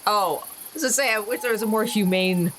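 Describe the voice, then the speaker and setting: A woman's voice: mid-range voice, fairly quick, a bit bright, slight background noise. A woman playing a tabletop game, chatting casually and jokingly.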